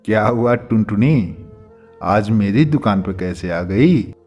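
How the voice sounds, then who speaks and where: A man voicing a stern, businesslike bird moneylender in a children's cartoon, firm and measured. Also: fairly low pitch, expressive, breathy edge, lo-fi sound, soft background music, exaggerated voice. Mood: inquiring. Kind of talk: Hindi children's cartoon dubbing.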